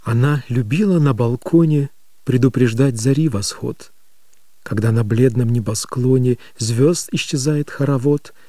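An actor playing a dreamy Russian poet softly reciting lyrical verse in a radio play. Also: male voice, medium pitch, normal speed, dry recording, recorded from a distance, close to an everyday voice. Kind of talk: poetry recitation.

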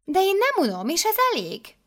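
A woman putting on a gushing high-voiced mother superior. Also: huge pitch swings, fairly bright tone, exaggerated voice. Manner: gushing, effusive, commanding. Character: doting excitable older female mother superior.

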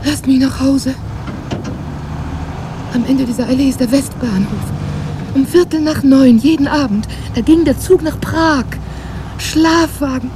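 A woman's voice: high voice, measured pace, pauses often, background noise. A woman acting an exhausted, haunted musician in a radio drama, murmuring a fragmented inner monologue. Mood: dazed, urgent. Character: exhausted, haunted woman pianist, drifting between sleep and memory.

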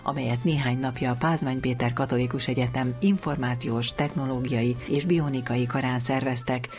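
A man's voice: medium pitch, steady tone, darkish tone, soft background music. A man hosting a radio programme, asking interview questions and presenting.

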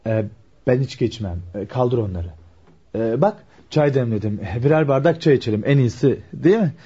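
A fast-talking, husky, earnest young shopkeeper. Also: male voice, mid-range voice, lively intonation, mellow. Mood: disapproving. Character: earnest, conservative young male shopkeeper in love. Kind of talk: Turkish radio drama acting. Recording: dull, lo-fi radio drama recording.

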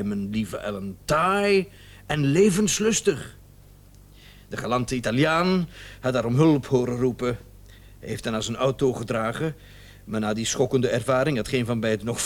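An actor playing a talkative, smug doctor with bitter irony and theatrical, drawn-out emphasis in a Dutch radio play. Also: male voice, medium pitch, close to an everyday voice. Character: smug, talkative doctor, bitterly sarcastic about his unfaithful wife.

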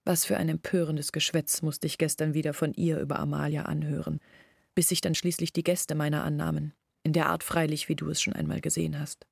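An embittered young village woman in an intimate literary radio play. Her voice is fairly low and gravelly, recorded very dry and close. She confides her family's shame earnestly, with measured phrasing. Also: little pitch movement, a little mellow, no echo, heavily acted. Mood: bitter, earnest. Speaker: radio drama actor.

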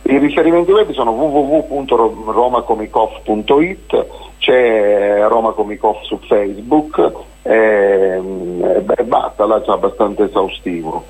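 A man's voice: fairly low pitch, unhurried, darkish tone, husky, low-quality recording. A man, a festival organizer being interviewed on the radio, talking in a rambling, hesitant way with many fillers and restarts. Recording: radio broadcast.

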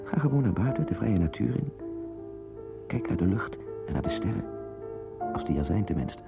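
An actor playing a nervous, reclusive henpecked husband in a radio play, with a hesitant, apologetic, wry manner. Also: male voice, lowish voice, very animated, moderate pace, creaky. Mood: earnest pleading. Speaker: radio play narrator and lead. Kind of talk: radio drama monologue and dialogue. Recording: dull lo-fi radio play, music behind.

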